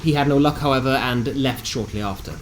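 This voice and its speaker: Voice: medium pitch, a little fast, a little hiss or hum. A narrator reading a scripted recap of a tabletop fantasy game in a theatrical storytelling manner with wry asides. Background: music.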